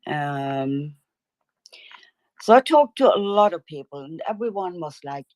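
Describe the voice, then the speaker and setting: Slow low Swedish-accented woman caller. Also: animated, darkish tone, no room sound. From northern Sweden. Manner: slow, careful, hesitant English. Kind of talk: casual chat.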